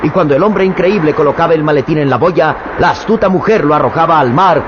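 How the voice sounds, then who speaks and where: A man with a Mexican accent narrating an old radio drama in a dramatic, measured storytelling manner. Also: a little high. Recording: muffled old radio recording with background noise.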